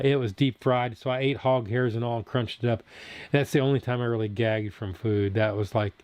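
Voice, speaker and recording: A man's voice: medium pitch, clear, resonant tone, no room sound. A man in his sixties from Southern Ohio, chatting casually with viewers on his food channel's live stream and reading their comments aloud as he rambles. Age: 60s. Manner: rambling, chatty, answers chat as he reads.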